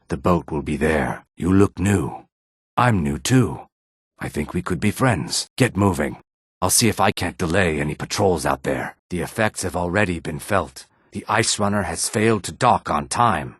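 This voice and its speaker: Deep, husky lizard-man schemer. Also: fairly bright tone, no echo, studio quality. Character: shady adult male lizard-folk adventurer, calculating and intense. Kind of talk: video game character dialogue.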